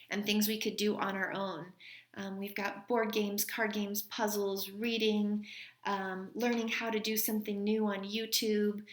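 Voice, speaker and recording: A woman reading a children's storybook aloud in an expressive manner, like a teacher. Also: mid-range voice, echoey room.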